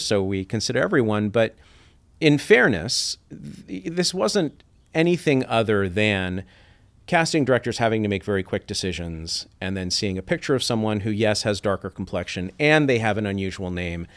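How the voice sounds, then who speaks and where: A man's voice: on the low side, animated, normal speed. A middle-aged man from Iran, a podcast guest, telling his story in a thoughtful, reflective way and acting out quoted voices. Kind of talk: reflective interview talk.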